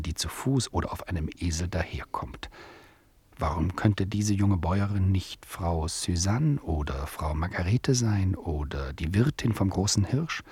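An actor playing a wry, teasing author-narrator in a literary radio play, ironic and rhetorical. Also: male voice, sits low, a little mellow, breathy edge, no echo, full character voice. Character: wry, teasing male author-narrator sparring with his listener.

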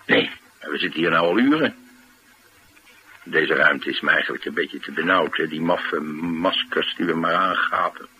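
A man's voice: extremely low pitch, normal speed, pushed and bright, full character voice, poor audio. A man playing a wry, easygoing rogue in a radio drama, teasing and nonchalant, with a Netherlands Dutch accent. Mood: wry, casual. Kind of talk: naturalistic radio drama dialogue. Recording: faint background noise.

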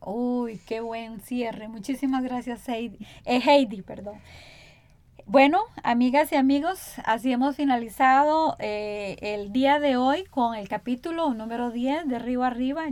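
Higher-voiced Costa Rican woman, dry sound. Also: measured pace, darkish tone, dry recording. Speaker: radio program host. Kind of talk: conversational radio interview.

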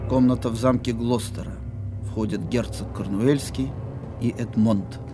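A man's voice: medium pitch, steady tone, a little slow, over music. A man reading scripted scene announcements as narrator of an audio drama.

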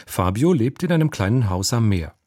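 A man's voice: fairly low pitch, very animated, normal speed, mellow, no room sound, studio quality. A man reading a scripted story summary as a radio drama announcer.

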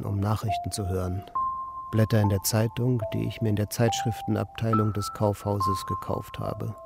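An actor playing an idle, melancholy married man in a radio play, speaking in deliberate, formal sentences with a deadpan manner. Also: fairly low pitch, gravelly. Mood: flat, resigned. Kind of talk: German radio play acting and narration.

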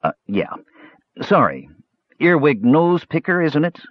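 A man's voice: fairly high pitch, sing-song, unhurried, dry recording, lo-fi sound, voice pushed up. A man voicing an easygoing, long-suffering warrior, plain and a little hesitant, as an audiobook character. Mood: awkward. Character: easygoing, slow-witted male warrior, long-suffering companion.

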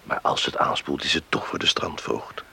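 A man's voice: very deep voice, moderate pace, gravelly, sharp tone, whispered, exaggerated voice. An actor playing a drowsy, reluctant young farm man in a radio drama, speaking hesitantly. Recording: hissy old radio recording.

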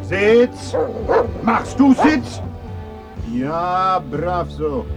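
An actor playing a bossy dog owner in a radio play, giving commands like a drill master. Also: male voice, sits high, very animated, extremely slow, music in the background, exaggerated voice. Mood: commanding.

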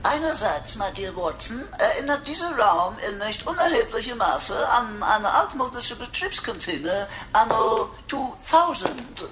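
A woman's voice: fairly high pitch, big pitch swings, measured pace, few pauses, background noise. A woman playing a chatty, sardonic computer sidekick in a radio play, talking in florid mock-archaic phrases peppered with foreign words. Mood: smug.

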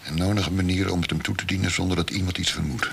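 A man's voice: low-pitched, fairly even intonation, fast talker, gravelly, a bit bright, a little hiss or hum. An actor playing a cold, businesslike male interrogator in a radio drama, speaking in a terse, matter-of-fact way. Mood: cool, conspiratorial.

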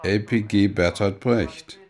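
The General, deep, cryptic informant. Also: male voice, steady tone, slow pace, darkish tone. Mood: secretive, solemn. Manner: oddball, terse, secretive. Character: cryptic, self-important informant nicknamed the General. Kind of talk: radio drama acting.

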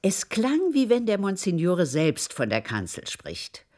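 An actress playing a devout, tender, grieving servant woman in a German radio play, moving between hushed and insistent delivery. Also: lowish voice, wildly swooping pitch, a little slow. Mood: soft, soothing.

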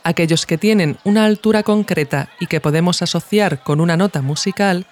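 A woman's voice: lowish voice, a little fast, darkish tone. A woman from reading scripted documentary narration as the host of an audio podcast.

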